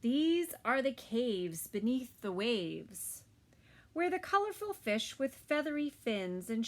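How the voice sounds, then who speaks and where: Very slow, animated woman reading to toddlers. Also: on the high side, vocal fry. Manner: slow, sing-song phrasing with soft asides to children. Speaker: children's story time reader. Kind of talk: expressive picture-book read-aloud for toddlers.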